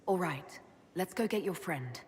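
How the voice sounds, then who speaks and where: An actress playing a steady, practical elf leader. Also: female voice, on the low side, measured pace, a little hiss or hum. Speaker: player character.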